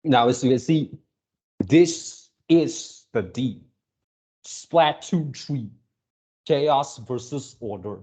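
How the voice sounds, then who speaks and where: Dark-voiced young man, halting delivery. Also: medium pitch, lively intonation, breathy edge. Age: early 20s. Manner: halting, repeats and rephrases himself. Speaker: video channel host. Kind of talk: casual reaction video talk to viewers.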